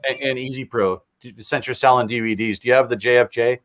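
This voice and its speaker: Relaxed man explaining with examples. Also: medium pitch, fast talker. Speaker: co-host. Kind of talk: casual livestream chat.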